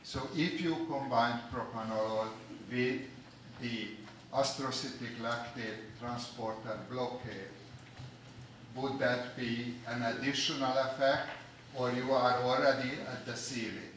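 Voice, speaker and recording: A man's voice: medium pitch, fairly even intonation, measured pace, a bit bright. Hungarian man, audience questioner, far from the mic in a big reverberant hall with background noise. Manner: long, detailed technical questioning. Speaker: audience member asking a question. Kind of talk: conference Q&A question. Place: large conference hall. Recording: echoey hall, distant mic, noisy.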